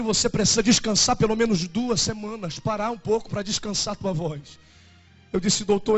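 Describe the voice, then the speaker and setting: A man's voice: sits high, dull audio. A young man in his twenties with a Brazilian Portuguese accent, preaching a fervent and emotional sermon as a pastor. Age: 20s.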